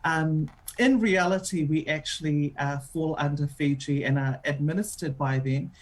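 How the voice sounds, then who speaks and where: A woman's voice: deep voice, moderate pace. A woman with a New Zealand accent, a radio show host being interviewed on air, talking in a chatty way with many filler ums.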